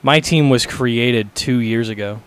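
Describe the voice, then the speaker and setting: A man's voice: mid-range voice, unhurried, smooth. A young man co-hosting a casual sports podcast, teasing his partner and swearing. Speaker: podcast co-host.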